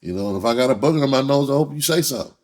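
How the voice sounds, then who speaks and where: A man's voice: medium pitch, lively intonation, racing, a little mellow. A man talking casually and candidly in a blunt, unfiltered, profane manner. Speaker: entertainer, rapper and writer.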